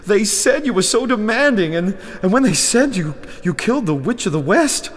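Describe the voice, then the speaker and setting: A man's voice: high-pitched, sing-song, moderate pace. An older man playing a timid humbug wizard and former circus balloonist, stammering and pleading in a fantasy audio drama. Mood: frightened pleading. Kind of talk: full-cast fantasy audio drama acting. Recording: long reverb, music behind.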